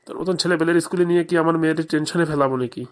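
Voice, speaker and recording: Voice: medium pitch, fairly even intonation, rapid-fire, lightly acted. A performer voicing a stern, sarcastic male headmaster in a comedy cartoon. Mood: exasperated, sarcastic. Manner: sarcastic, scolding interrogator.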